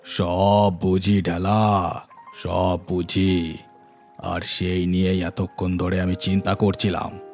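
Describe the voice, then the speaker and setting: A low, flat-toned bandit boss, acted by a man for a cartoon horror tale. He is grim and authoritative, weighing each phrase slowly. Music plays behind him. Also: creaky, exaggerated voice. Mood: brooding. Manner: measured, authoritative. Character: grim, brooding middle-aged bandit gang chief, commanding. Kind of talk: animated horror story dialogue.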